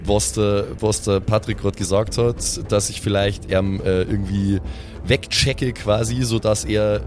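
A man with a fairly low voice plays a tabletop game. He reasons out loud casually, sprinkling in English slang, with faint music underneath. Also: fairly even intonation, unhurried. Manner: thinking aloud, mixes in English slang. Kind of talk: casual improvised game chat.

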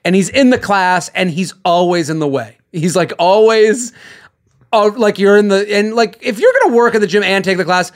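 Guy hosting a podcast, high voice, rambly storyteller, keeps restarting and laughing. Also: normal speed. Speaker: podcast host. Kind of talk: casual chat.

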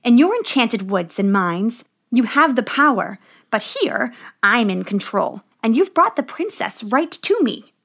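A woman's voice: a little high, big pitch swings, a little slow, a bit bright, full character voice. Woman voicing a gloating wicked witch. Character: wicked scheming female witch, posing as an old woman.

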